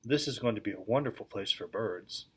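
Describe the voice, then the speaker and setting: A man's voice: fairly low pitch, a little fast, slightly rough. A man reading aloud, voicing an eager, confident eldest English schoolboy. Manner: enthusiastic, confident.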